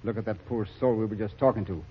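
An actor playing a hardened, blunt army captain working famine relief, grim and weary, in a 1940s radio drama. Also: male voice, fairly low pitch, fairly even intonation, fast, husky. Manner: blunt, grimly weary, callous. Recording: dull old radio recording.

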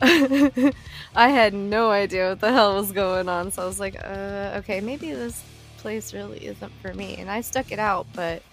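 A woman in her thirties chats casually as host, spinning animated stories full of swearing and laughter. Her voice sits at an ordinary middle pitch. Soft music plays faintly underneath. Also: a little slow. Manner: animated storyteller, swears freely, laughs often. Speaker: podcast host. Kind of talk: casual chat.

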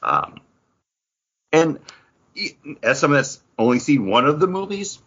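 A man's voice: on the high side, wildly swooping pitch, unhurried, vocal fry, a bit bright, breathy edge, muffled recording. A man chatting casually as a playful, joking co-host of a pop culture podcast. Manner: playful, jokey.

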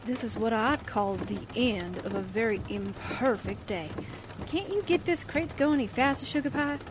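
A woman's voice: a little high, moderate pace, close to an everyday voice. An actress playing a brassy, slangy, wisecracking newspaperwoman in a 1940s-style radio drama. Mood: wry, grumbling. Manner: wry, slangy quips. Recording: clear rain and car noise behind. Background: rain and vehicle sounds.

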